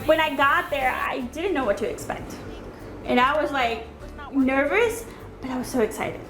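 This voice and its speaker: A woman's voice: high-pitched, expressive, moderate pace, rough recording. A woman from El Salvador, a cooking show contestant, talking earnestly and emotionally in an interview. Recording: music bed underneath.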